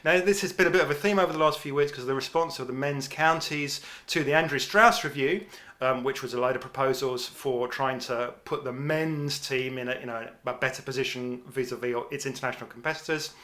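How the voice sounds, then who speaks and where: A man with an English accent, his voice bright and forceful, co-hosts a casual podcast. He talks informally and rambles, often restarting his sentences. His pitch sits in an ordinary middle range. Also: brisk. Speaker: podcast co-host. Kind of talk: casual cricket podcast chat.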